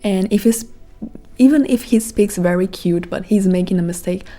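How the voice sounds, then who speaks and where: A woman's voice: mid-range voice, moderate pace, dark tone. A woman in her thirties with a Brazilian Portuguese accent, hosting a podcast as a casual, reflective monologue full of "like" fillers. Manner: reflective, rambling with many "like" fillers. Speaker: podcast host.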